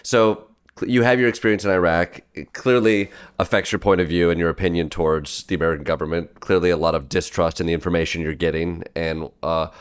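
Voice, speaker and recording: A male interviewer asking probing questions in a conversational, halting way with frequent restarts. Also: low voice, steady tone, clean tone.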